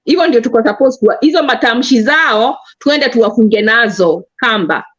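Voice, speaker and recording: A woman's voice: fairly high pitch, expressive, normal speed, dull audio. A Kenyan woman with a Kenyan accent, talking animatedly about politics and switching between Swahili and English. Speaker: panelist. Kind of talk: political discussion.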